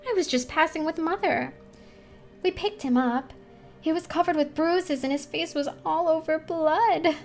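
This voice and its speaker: A woman's voice: high-pitched, normal speed, faint music behind, voice pushed up. A woman voicing a frightened, superstitious young ballet girl, chattering insistently in a breathless, gossipy way for a storybook reading. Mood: breathless gossip.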